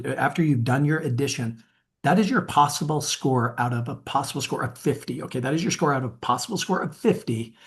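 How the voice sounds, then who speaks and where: A man coaching in a webinar, upbeat, asking viewers to rate themselves. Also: medium pitch. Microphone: webinar mic.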